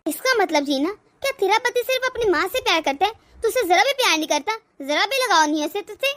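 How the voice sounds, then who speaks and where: औरत की आवाज़ में ऊँची आवाज़ वाली चालबाज़ सहेली. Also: female voice, very high voice, expressive, quick pace, fairly bright tone. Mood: urging, provoking. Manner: insistent, instructing. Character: bossy, scheming female friend advising a young wife. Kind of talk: melodramatic domestic story acting.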